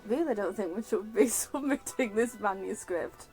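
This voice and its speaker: A woman's voice: medium pitch, moderate pace, darkish tone, soft background music. A woman casually reacting during a video game playthrough, wry and hesitant as she thinks aloud. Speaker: game streamer.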